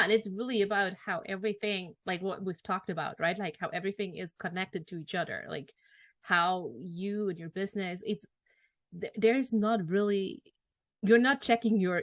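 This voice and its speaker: A woman's voice: mid-range voice, moderate pace, dry recording. Affirming woman podcast host, dry sound. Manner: warm affirming, frequent "mm-hmm" and "I love that". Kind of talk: casual interview conversation.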